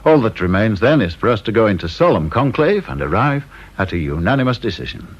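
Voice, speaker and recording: A man's voice: on the low side, sing-song, brisk. A middle-aged man with an English accent playing a caustic, domineering board chairman in a British radio drama, sardonic and officious. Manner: sardonic, officious, drawling emphasis. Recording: dull, lo-fi radio recording.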